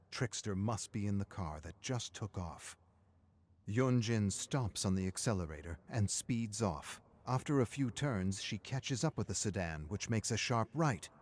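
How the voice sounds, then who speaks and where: A man's voice: on the low side, a little mellow. A man reading a scripted story as a dramatic narrator.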